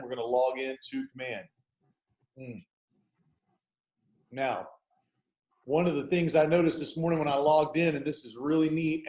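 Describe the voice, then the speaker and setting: A man's voice: medium pitch, a little mellow. A man, hosting a real estate team video meeting in an upbeat, chatty, folksy way. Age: 40s. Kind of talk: casual software walkthrough on a video call. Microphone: video call. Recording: echoey room over a video call.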